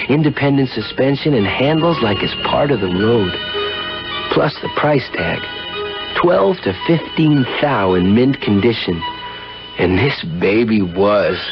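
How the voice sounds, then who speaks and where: Cocky American flirt, low swooping voice, hipster slang, 1970s radio drama, music behind. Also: male voice, dull audio. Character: brash, flirtatious American car salesman on holiday, slangy. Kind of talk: 1970s radio drama acting, dialogue and first-person narration.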